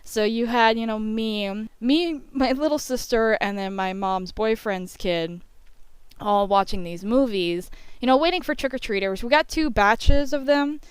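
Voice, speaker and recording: A woman's voice: a little high, a little slow, smooth, no echo. A young woman telling a casual, chatty story in a giddy way, acting out what people said. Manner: giddy, animated retelling with acted-out quotes. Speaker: storyteller narrating over a speedpaint video.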